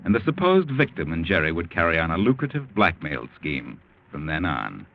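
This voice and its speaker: An actor playing a knowing, sardonic American storyteller narrating a 1940s radio mystery. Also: male voice, low-pitched, wildly swooping pitch, moderate pace, exaggerated voice. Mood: knowing, wry. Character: sly, omniscient mystery storyteller. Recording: muffled 1940s radio recording. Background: music.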